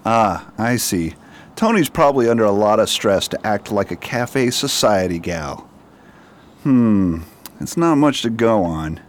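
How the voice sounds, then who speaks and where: A man's voice: on the low side, expressive, unhurried. A man playing a hearty, inquisitive friend in a radio mystery, curious and musing in manner. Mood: curious probing. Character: hearty, inquisitive old friend, probing gently. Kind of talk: radio drama acting.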